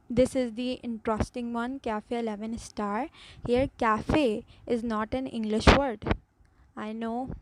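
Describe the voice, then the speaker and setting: A woman's voice: a little high, darkish tone, a little hiss or hum, poor audio. A woman with a Pakistani accent, a native Urdu speaker, giving an earnest, explanatory class presentation with some restarts.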